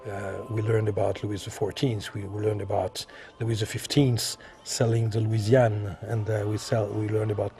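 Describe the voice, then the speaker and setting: A man from France with a French accent, answering interview questions as an artist, halting and repeating himself as he searches for words. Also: on the low side, flat delivery, warm tone, soft background music. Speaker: visual artist being interviewed.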